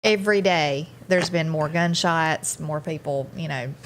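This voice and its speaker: A woman's voice: sits low, measured pace, a bit bright, poor audio. A woman from Mississippi co-hosting a morning show in casual, tentative conversation. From Mississippi, United States.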